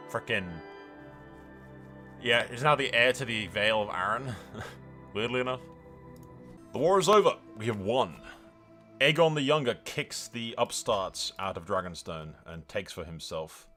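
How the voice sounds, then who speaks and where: Sweary man commentating a game, bright voice. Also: mid-range voice. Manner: sweary, emphatic, rambling, given to theatrical declarations. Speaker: gaming content creator and host. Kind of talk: excited gameplay commentary with in-character roleplay.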